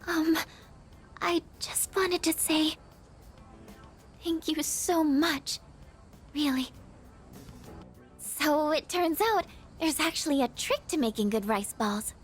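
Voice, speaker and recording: A sweet high school girl from a video game with a high voice. She sounds shy, earnest and gently hesitant, over faint background music. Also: lively intonation, slow, pauses often.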